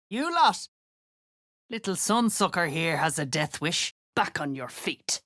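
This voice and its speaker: A woman playing a gruff, threatening dwarf sergeant in a video game, coarse and slangy in her speech. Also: deep voice, fairly bright tone. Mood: menacing, commanding. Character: gruff, menacing female duergar sergeant driving slaves. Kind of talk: video game character acting.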